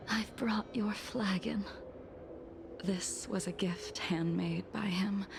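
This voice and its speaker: An actress playing a solemn, grieving veteran swordswoman in a video game, with a soft, breathy, restrained delivery. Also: medium pitch, background noise. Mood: mournful, tender. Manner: slow, measured, grave. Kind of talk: English-dubbed video game story acting.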